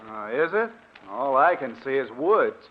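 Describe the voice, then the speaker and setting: An actor playing an earnest, well-spoken young scientist with an American accent, polite, in old radio drama style. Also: male voice, medium pitch, very animated, unhurried. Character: earnest educated young male scientist visiting his old professor. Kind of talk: 1950s radio drama acting. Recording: muffled old radio recording.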